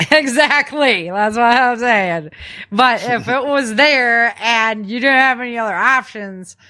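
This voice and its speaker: A woman with a bright, forward voice talks as a podcast co-host. She explains practical tips in an informal, chatty way, like casual conversation between friends. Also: fairly high pitch, slow pace.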